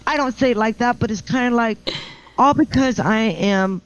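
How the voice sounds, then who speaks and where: A middle-aged woman with a low voice, a house painter chatting as a podcast guest, joking about herself and often restarting her sentences. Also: normal speed, clear, resonant tone, highs cut off. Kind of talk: casual podcast interview.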